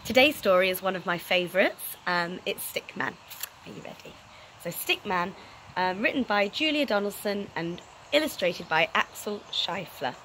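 A woman in her thirties reading a children's story aloud in an animated, dramatic way, recorded outdoors. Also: medium pitch, normal speed, rough recording. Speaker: storytelling teacher. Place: outdoors on an open wooden deck. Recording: outdoor recording, clear background noise.

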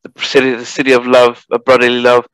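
Um homem apresentador fazendo perguntas amigáveis e um pouco hesitantes numa entrevista, usando microfone de headset. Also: male voice, medium pitch, monotone, fast talker, fairly bright tone, clear, resonant tone, dry recording, rough recording. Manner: friendly, hesitant questioning. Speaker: podcast host and interviewer. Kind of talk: interview questions. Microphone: headset mic.

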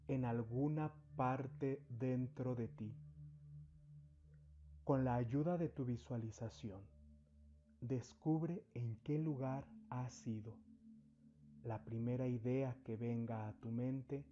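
A man narrating a guided meditation as a spiritual guide, giving deliberate instructions in short phrases with long pauses. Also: medium pitch, dark tone, lo-fi sound. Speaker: spiritual therapist, meditation guide and channel host. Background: background music.

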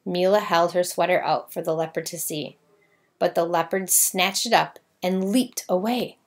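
A woman's voice: on the low side, moderate pace. A woman narrating a children's storybook, reading aloud expressively.

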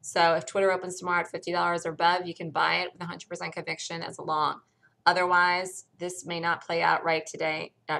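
A woman with a hoarse voice from a cold, bluntly walking through a stock chart in a casual screen-share lesson. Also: on the low side, pushed and bright. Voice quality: gravelly. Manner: blunt, conversational, thinks aloud while pointing at charts. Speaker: stock trading educator.

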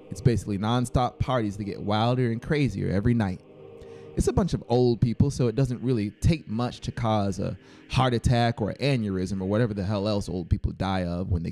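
A man voicing a blunt, resolute male cousin in an audiobook, explaining things in a flat, matter-of-fact way. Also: on the low side, close to an everyday voice.

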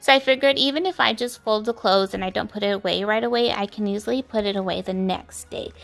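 A young adult woman narrating her home chores in a relaxed, plain-spoken voice-over, encouraging her viewers. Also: medium pitch, fast talker, gravelly. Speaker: homemaking vlogger.

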